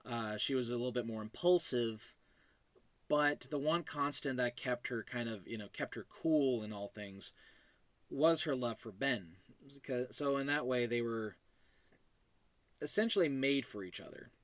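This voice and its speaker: A man's voice: medium pitch, fairly bright tone, no echo. Guy podcaster rambling solo about his writing, stop-and-start, self-deprecating, really dry close sound. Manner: halting, self-deprecating, chuckles often. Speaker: podcast host and writer of an audio drama series. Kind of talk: casual rambling solo commentary.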